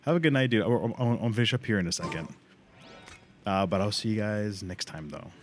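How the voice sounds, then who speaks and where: A man with a fairly low voice streams a game with music playing behind him. He thinks aloud in loose, informal commentary and swears freely. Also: a little fast. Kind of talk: casual livestream commentary.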